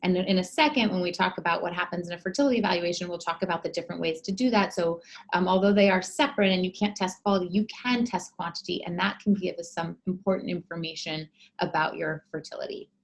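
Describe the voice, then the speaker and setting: A woman fertility doctor giving an educational talk, explaining clearly with frequent fillers. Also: on the low side, quick pace.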